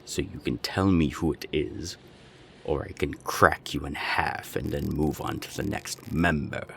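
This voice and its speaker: A man playing a menacing naga gang boss in an audio roleplay, gravelly and deliberate, with cold, taunting threats. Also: a little hiss or hum, full character voice. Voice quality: very deep, gravelly. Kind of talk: audio roleplay drama acting.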